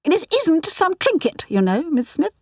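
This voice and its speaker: A woman's voice: extremely high pitch, big pitch swings, a little slow, a little mellow, dry recording, clean studio sound, voice pushed up, heavily acted. A woman voicing a stern, proper older female museum doctor in an audiobook, polite but clipped and reproachful. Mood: snapping, indignant.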